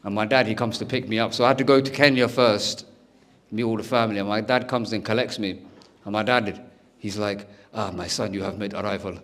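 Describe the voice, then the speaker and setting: A young man doing stand-up, telling stories in a lively way and acting out the people in them. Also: on the low side, a little fast. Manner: animated, acts out every character's lines. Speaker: stand-up comedian. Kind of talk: stand-up storytelling. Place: comedy stage.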